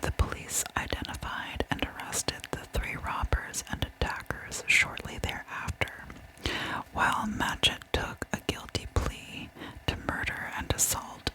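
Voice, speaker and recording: A woman whispering a true crime story in ASMR style, with a soft, breathy, unvoiced delivery and deliberate storytelling. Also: rough recording.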